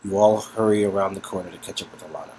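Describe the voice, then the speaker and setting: A man's voice: low-pitched, rapid-fire, darkish tone, rough recording. A man co-hosting a casual read-through of a story game, narrating scenes and chatting. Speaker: co-host and narrator.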